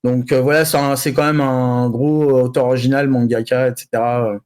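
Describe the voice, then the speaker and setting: A man's voice: mid-range voice, fairly even intonation, fairly quick, darkish tone, clean tone. A man chatting casually and informally, with some slang, as a columnist on a panel show about geek news. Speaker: columnist on an anime and manga show.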